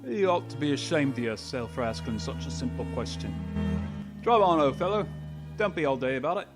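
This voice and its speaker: A man voicing an impatient griffin in a storybook radio play, with rough, folksy, uneducated speech. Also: mid-range voice, animated, brisk, over loud music, close to an everyday voice. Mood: impatient scolding. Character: gruff, familiar, impatient male griffin, half lion half eagle.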